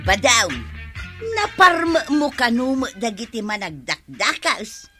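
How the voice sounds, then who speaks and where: A woman's voice: a little high, sing-song, unhurried, over loud music. A woman with an Ilocano accent playing a mocking, doubtful wife who teases and scolds in a radio comedy drama. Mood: teasing.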